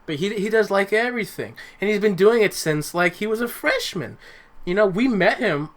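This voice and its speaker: Young male co-host, casual and halting, slightly high pitch with a sharp, bright tone. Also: lively intonation. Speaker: podcast host and amateur voice actor. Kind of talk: casual chat.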